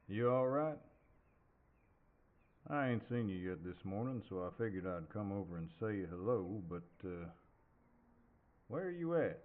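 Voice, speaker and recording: A man acts out a handsome cowboy neighbor with a low Southern drawl. He speaks very slowly and hesitantly, polite but gently teasing, as in an intimate audio roleplay. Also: narrow, tinny audio, a little hiss or hum. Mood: polite, awkward. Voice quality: deep voice. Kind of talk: audio roleplay, intimate one-sided dialogue.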